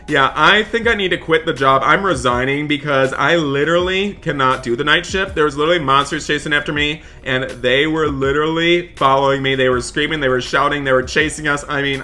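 A man doing excitable, melodramatic roleplay gameplay commentary as a gaming video host, full of repeated exclamations. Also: on the high side. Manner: breathless, melodramatic, repetitive exclamations.

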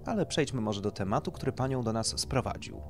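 A man's voice: medium pitch, expressive, a little fast, over music, natural voice. A man playing a courteous, businesslike clinic director in an audio story, reassuring like a salesman. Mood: polite, reassuring.

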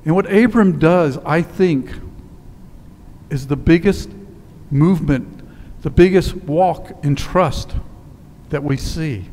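A man in his sixties preaching a sermon, telling a story and dropping to a quiet, slow emphasis on key words, standing in a church sanctuary on a headset mic. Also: a little high, slight background noise. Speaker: priest. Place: church sanctuary in front of altar. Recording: long church reverb with faint ambience.

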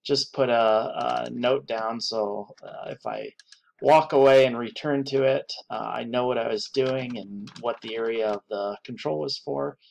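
Man, slightly gravelly voice, unscripted software tutorial, thinking aloud, hesitant with fillers. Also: mid-range voice, steady tone, unhurried. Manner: thinking aloud, many filler uhs and restarts. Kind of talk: unscripted screen-recorded tutorial.

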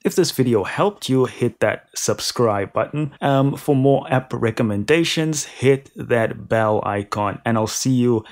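A man's voice: mid-range voice, a little slow, hardly any pauses. A man reading a scripted narration as the host of a tech review.

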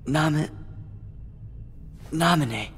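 A man's voice: a little high, drawn-out words, fairly bright tone, husky, full character voice. An actor voicing an earnest teenage boy hero in a game who speaks hesitantly, groping for words. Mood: confused.

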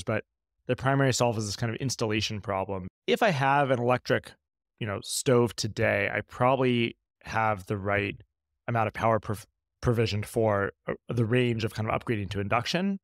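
A man's voice: mid-range voice, a little fast, dry recording, studio quality. A man hosting a casual podcast interview, reflectively restating and framing points as he talks. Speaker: podcast host.

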